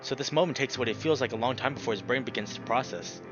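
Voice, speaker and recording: A man narrating a visual novel aloud as a let's player, dramatic and expressive, swearing freely in casual reactions. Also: mid-range voice, fast talker, highs cut off. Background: music.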